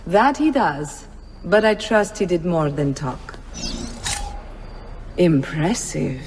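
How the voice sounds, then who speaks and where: An actress playing a poised, shrewd madam and mentor in a dramatic video game style. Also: female voice, on the low side, very animated, slow pace, darkish tone, a little hiss or hum. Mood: cool, approving. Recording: long reverb.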